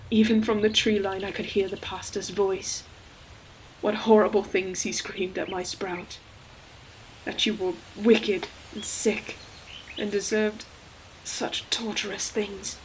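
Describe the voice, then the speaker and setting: A woman performing an ancient female forest demon in an audio roleplay, solemn, poetic and deliberate, a tender and sorrowful protective old friend. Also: mid-range voice, flat delivery, a little hiss or hum, close to an everyday voice, rough recording. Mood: sorrowful, brooding. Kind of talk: scripted audio roleplay monologue, slow dramatic storytelling. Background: ambient environmental sound effects.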